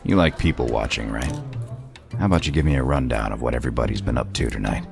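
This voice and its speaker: An actor playing a curt, world-weary sheriff with a gravelly voice in an audio drama. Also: male voice, extremely low pitch, fast talker, darkish tone, faint music behind, full character voice. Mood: gruff, dry. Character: gruff wolf sheriff in human form, curt, world-weary.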